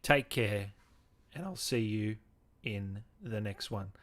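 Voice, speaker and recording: A man's voice: fairly low pitch, slow pace, fairly bright tone. Young guy in his 20s, casual gaming show host, chatting away on stream. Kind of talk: casual livestream chat.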